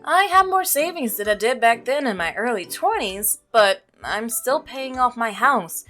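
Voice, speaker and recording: A woman's voice: on the high side, lively intonation, a little slow, pushed and bright, soft background music. Woman voicing an earnest, devoted milkman. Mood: wistful, practical. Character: earnest, devoted married milkman, practical working man. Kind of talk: visual novel character reading.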